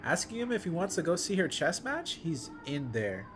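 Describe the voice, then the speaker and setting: A man's voice: a little high, big pitch swings, normal speed, noisy background. A man giving casual, slangy playthrough commentary on a game as a streamer. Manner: informal slangy fan talk. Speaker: gaming streamer reacting to a visual novel. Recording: music playing behind the voice. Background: clear background music.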